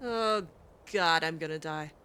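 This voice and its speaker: A woman's voice: low voice, a little slow, sharp tone, slight background noise. A woman playing a game in character, talking in a sarcastic, exasperated, dramatic way. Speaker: let's play host roleplaying her character.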